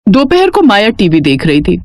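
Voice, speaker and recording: A woman's voice: medium pitch, huge pitch swings, normal speed, darkish tone, no room sound, clean studio sound. A woman narrating a scripted moral story as its storyteller.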